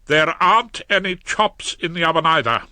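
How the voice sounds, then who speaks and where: A man's voice: fairly high pitch, animated, moderate pace, bright, forceful tone, no room sound, studio quality, voice pushed up. A stern imperious wife, as a man voices her. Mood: curt. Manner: clipped, imperious and reproachful. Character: stern domineering middle-aged wife, indignant. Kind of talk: audiobook character voice.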